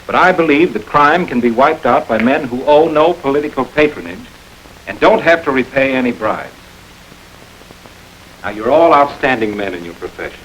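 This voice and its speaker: A man's voice: medium pitch, fairly bright tone, natural voice, poor audio. A man voicing an idealistic district attorney from an old crime picture, speaking with an earnest, speechmaking lilt that rises and falls a lot. A faint hiss sits under his voice. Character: idealistic new district attorney rallying men. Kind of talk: 1930s film crime drama.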